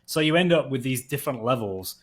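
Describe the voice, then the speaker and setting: A native English-speaking man talks in a relaxed, meandering way, weighing ideas aloud. His voice sits at an ordinary middle pitch. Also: a little fast. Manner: thinks aloud, chatty with fillers. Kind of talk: casual discussion.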